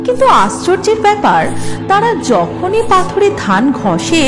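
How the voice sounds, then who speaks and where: A woman narrating a children's story in a dramatic, scripted storytelling style. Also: very high voice. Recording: music behind the voice. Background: music.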